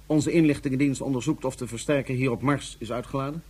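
A man acting a calculating highness in a science fiction radio play. His voice is very husky and mid-pitched, and he gives orders in formal, deliberate, slightly hesitant phrases. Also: fairly even intonation, a little mellow, close to an everyday voice. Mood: calm, scheming. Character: composed, calculating male ruler on Mars commanding a colonel.

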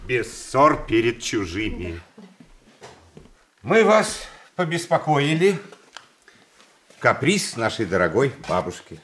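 A stiff family man speaks discreetly in a radio play. His mid-pitched voice moves expressively as he apologises and reproves, with faint noise behind it. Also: big pitch swings, takes it slowly, long pauses, a bit bright. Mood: discreetly apologetic. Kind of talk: Russian stage-play radio acting.

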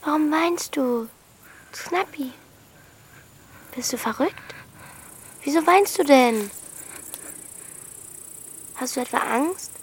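A woman's voice: high voice, animated, slow pace, long pauses, slight background noise. An actress playing a bold, bossy thirteen-year-old girl in a radio play, blunt and protective of her friend. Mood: urgent coaxing. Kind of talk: German radio play acting.

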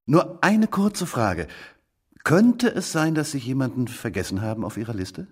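An actor playing a brisk, persistent police detective in a German radio drama, with a businesslike, probing manner. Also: male voice, medium pitch, big pitch swings, breathy edge. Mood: insistent.

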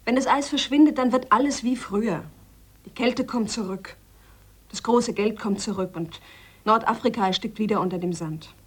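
An actress playing a determined, idealistic scientist in a radio play, speaking thoughtfully and insistently. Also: female voice, on the high side, moderate pace, husky. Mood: reflective, hopeful. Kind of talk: radio play dialogue, reflective confession.

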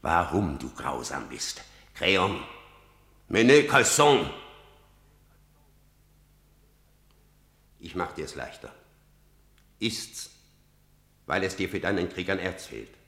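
An actor playing an old, grave, defiant seer in a radio verse drama, declaiming deliberately and probing as he goes. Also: sits low, very animated, a bit bright, heavily acted. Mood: accusing. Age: older. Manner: declamatory, deliberate, swelling and dropping. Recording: roomy, reverberant radio play recording.

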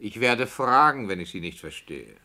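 Casting: a cautious, skeptical director in an old radio play, lowish voice with a lively melody. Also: male voice, normal speed. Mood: wary. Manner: prim, questioning, skeptical. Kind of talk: 1960s radio play acting.

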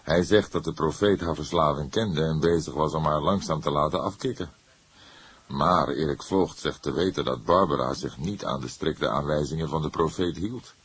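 Male reader as old detective, very deep, husky, slow, formal, stern, dry wit, audiobook. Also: dry recording, exaggerated voice. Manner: slow, measured, formal, emphatic.

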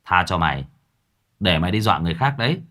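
A man's voice: sits low, very animated, fast talker, pushed and bright, heavily acted. A man doing a stern young tenant. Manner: gruff, stern, threatening.

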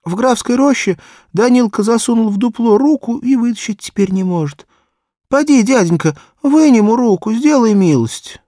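Storybook role: small, frightened beggar girl, played by a man, raised pitch, imploring, dry sound. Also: lively intonation, normal speed, mellow, no echo. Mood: pleading, tearful. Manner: plaintive, imploring. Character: pleading, frightened six-year-old beggar girl.

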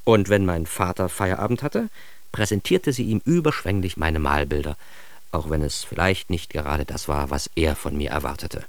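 Self-important narrator, low voice, wry, mock-grandiose, dry close studio sound, radio drama. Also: male voice, no echo. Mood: ironic. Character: Klaus, ironic self-important man narrating his childhood. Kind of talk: scripted first-person radio drama narration.

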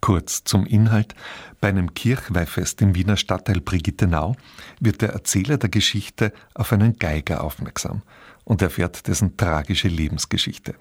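A man reads a scripted cultural introduction as a radio presenter, measured and articulate. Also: sits low, breathy edge, no echo, studio quality. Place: radio studio.

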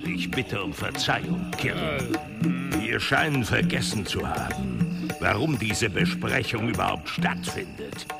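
A man's voice: lowish voice, sing-song, unhurried, gravelly, loud music behind the voice, heavily acted. A man playing a courteous, commanding leader of a secret brotherhood, formal and authoritative, in a fantasy radio drama.

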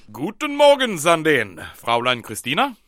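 A man's voice: fairly high pitch, wildly swooping pitch, a bit bright, slight background noise. A man playing a pompous, easily offended German military commandant with a German accent in an old-time radio comedy drama. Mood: brisk, then gloomy. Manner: declamatory, peppering speech with German phrases.

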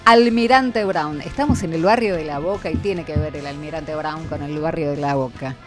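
A woman's voice: low voice, moderate pace, music in the background. A woman hosting a community radio segment with school students, presenting in a friendly, upbeat way.

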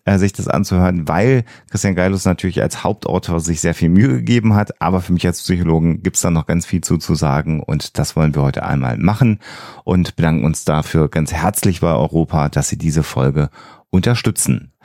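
A man hosting a podcast in a casual, measured way with some hesitant phrasing. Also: deep voice, dark tone, no room sound, clean studio sound. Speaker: podcast host; psychologist. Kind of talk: casual scripted-intro podcast talk.

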